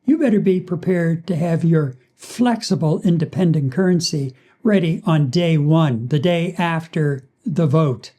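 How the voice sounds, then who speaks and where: A woman's voice: low-pitched, a little slow, few pauses, warm tone. A woman co-hosting a political talk show, reading out a news point and then reacting with sarcasm and disbelief. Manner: sarcastic, incredulous. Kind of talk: political commentary chat.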